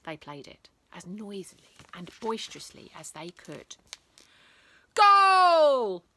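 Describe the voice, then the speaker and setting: A woman reading a children's bedtime story aloud in a theatrical, expressive way, lingering on words. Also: lowish voice, normal speed, slight background noise.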